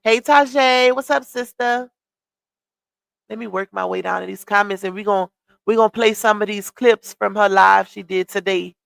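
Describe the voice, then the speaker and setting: A woman's voice: medium pitch, fairly even intonation, moderate pace, creaky, fairly bright tone, clear, resonant tone, dry recording. Chatty woman hosting a gossip live stream. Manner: chatty, reads out comments and greets viewers. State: losing her voice.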